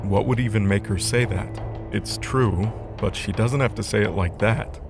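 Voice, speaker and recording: Lowish male story narrator over music. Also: normal speed, vocal fry. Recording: music under the voice.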